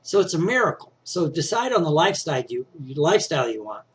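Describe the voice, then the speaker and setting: A man's voice: a little high, very animated, fast talker. A middle-aged man with a slightly scratchy voice, teaching success skills in a casual, conversational talk that he often punctuates with "right?". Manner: conversational, frequent "right?" and "you know" tags. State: scratchy voice, clearing throat.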